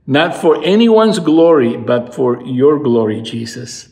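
A man's voice: medium pitch, big pitch swings, takes it slowly, gravelly, darkish tone. A man, giving an earnest devotional sermon as a pastor and rising to fervent emphatic peaks. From Peru. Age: 60s. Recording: echoey room.

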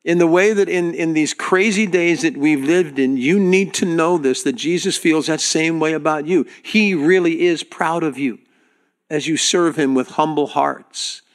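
A man preaching to his congregation as their pastor, speaking earnestly and directly with halting restarts. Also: a little high. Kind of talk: sermon.

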